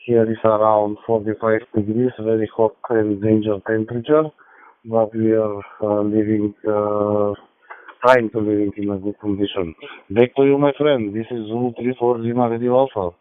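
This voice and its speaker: Macedonian man on narrowband radio, even-toned. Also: fairly low pitch, moderate pace, no echo. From North Macedonia. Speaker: amateur radio operator. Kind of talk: ham radio contact, friendly exchange. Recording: thin narrowband digital radio transmission.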